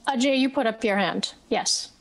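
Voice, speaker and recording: A woman moderating a virtual panel over a video call, speaking haltingly with many ums and restarts. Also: fairly high pitch, vocal fry. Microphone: webcam on video call.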